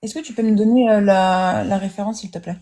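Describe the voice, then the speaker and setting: A woman taking part as a guest in a live online debate, speaking in a measured way and repeating herself when interrupted. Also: medium pitch, warm tone.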